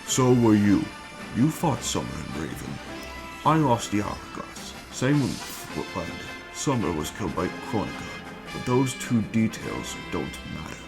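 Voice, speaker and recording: A man acting in an amateur dramatic reading as a scheming, menacing villain bent on conquest, speaking in a measured, formal, commanding way. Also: fairly low pitch, very animated, vocal fry, full character voice. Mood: menacing, imperious. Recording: music bed under the voice.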